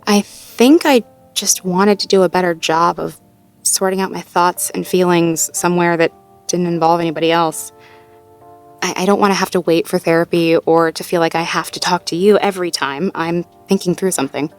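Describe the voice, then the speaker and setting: A woman playing a sweet, supportive girlfriend in an intimate audio roleplay, talking in a gently upbeat, conversational way as she thinks aloud. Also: fairly low pitch, fairly even intonation, moderate pace, soft background music, natural voice. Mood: thoughtful, supportive. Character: sweet, supportive girlfriend working through goals with partner.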